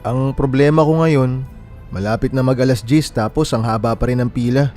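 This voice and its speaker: A male actor plays a young man in a radio drama, friendly and a little anxious by temper. He speaks in an easy, conversational way at a middling pitch. Music plays faintly beneath him. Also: normal speed, dark tone, lightly acted. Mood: worried, chatty. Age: young adult. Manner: casual, conversational. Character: friendly, worried young man waiting at a bus terminal. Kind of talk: naturalistic radio drama dialogue in Tagalog.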